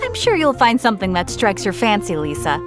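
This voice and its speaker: A woman's voice: medium pitch, wildly swooping pitch, a little fast, over music. A woman playing a cheerful, eager shopkeeper in a video game, gushing and polite. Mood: friendly, selling. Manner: bright sales patter, gushing, polite. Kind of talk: English video game voice acting.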